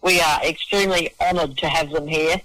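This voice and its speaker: A man's voice: on the high side, steady tone, a little fast, bright, forceful tone, no room sound, rough recording. A middle-aged or older man with an Australian accent, chatting casually and effusively as an interview guest, matey and often repeating words as he starts phrases. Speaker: festival organiser and singer-songwriter, interview guest. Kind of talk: casual radio interview chat.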